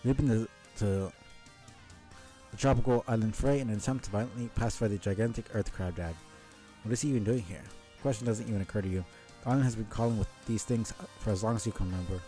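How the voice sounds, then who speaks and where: A man's voice: fairly low pitch, mellow, soft background music. A man reading a story aloud on a live stream, stumbling over words now and then and dropping in casual asides. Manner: halting, stumbles and rereads words.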